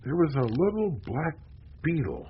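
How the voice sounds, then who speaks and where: An actor playing a world-weary, hard-drinking newspaperman in a radio drama, husky and wry. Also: on the high side, big pitch swings, normal speed, full character voice. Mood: tipsy, wry. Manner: wry, sardonic. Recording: dull, lo-fi radio recording.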